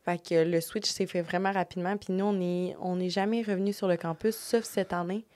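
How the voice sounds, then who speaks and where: A young Québécois woman in her twenties talks quickly and directly as a co-host. Her voice sits a touch low. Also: fairly even intonation, darkish tone. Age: 20s. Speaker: co-host, university student. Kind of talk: casual interview chat.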